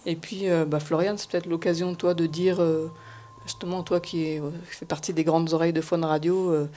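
A man's voice: fairly high pitch, little pitch movement, brisk, dark tone. A man hosting an informal radio panel, asking roundabout questions with hesitant phrasing. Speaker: panel host. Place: radio-style panel recording. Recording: faint hum and background noise.

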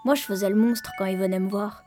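A woman's voice: medium pitch, steady tone, fast talker, lightly acted. A woman playing a cheeky fourteen-year-old boy who tells his own story in a plain, colloquial, childlike way, as in an intimate radio drama. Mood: matter-of-fact, candid. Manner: colloquial, matter-of-fact, childlike. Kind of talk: intimate first-person radio drama narration. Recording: music faintly behind a dry studio voice.

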